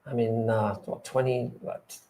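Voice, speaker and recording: A man's voice: mid-range voice, level tone, unhurried, mellow. A man who grew up in England, chatting hesitantly on a livestream. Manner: hesitant, hedging. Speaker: livestream co-host. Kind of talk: casual livestream chat.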